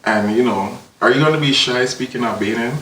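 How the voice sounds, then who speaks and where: A man's voice: mid-range voice, brisk, sharp tone, recorded from a distance. A man hosting a casual talk show interview, teasing his guest with playful questions and laughing often. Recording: echoey room, off-mic, faint noise.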